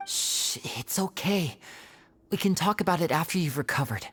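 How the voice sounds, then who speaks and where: A man playing a gentle, protective young older brother in a video game. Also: on the high side, animated, normal speed. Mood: soothing.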